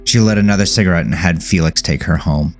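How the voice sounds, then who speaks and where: A man's voice: low-pitched, fast. A man reading a scripted horror story as its narrator. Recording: music behind the voice. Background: music.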